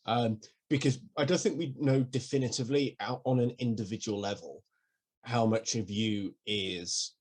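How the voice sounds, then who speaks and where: A man's voice: medium pitch, moderate pace, a little mellow. A man raised in Wales and England co-hosting a podcast, reasoning things through in a thoughtful, analytical way with some restarts. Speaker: podcast co-host. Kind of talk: casual conversation.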